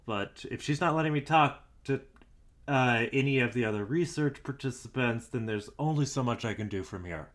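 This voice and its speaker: A man's voice: lowish voice, a bit bright, close to an everyday voice. A man playing a weary, doubtful investigator in an audio drama, dictating private logs in a hesitant, dryly skeptical, musing way. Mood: doubtful, resigned. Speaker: investigator and show host character.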